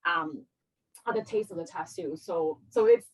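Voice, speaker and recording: A woman who grew up in Hong Kong, Vancouver and Los Angeles talks casually about her family restaurant. She speaks in a halting, chatty way, filling pauses with "um" and "like". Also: medium pitch, very animated, vocal fry, slightly rough, poor audio. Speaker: restaurant co-owner. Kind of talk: casual interview answers.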